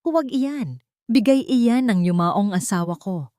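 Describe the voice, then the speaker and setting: A woman's voice: medium pitch, animated, measured pace, mellow, no room sound, voice pushed up, lightly acted. Woman narrator as proud older society mother, haughty, dramatic and pleading, audiobook drama, dry sound. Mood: frantic.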